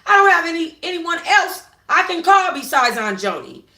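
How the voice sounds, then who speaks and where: A woman's voice: extremely high pitch, very animated, normal speed, sharp tone. A woman hosting a book club livestream at home on her phone, reading expressively and talking to viewers in an informal, slangy, conversational way. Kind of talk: expressive read-aloud with casual asides to viewers. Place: room at home. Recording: roomy echo on a phone livestream.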